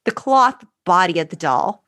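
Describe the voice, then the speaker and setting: A woman co-hosting a podcast in casual comedic chat, with animated and wry banter. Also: fairly low pitch, unhurried. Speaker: podcast co-host.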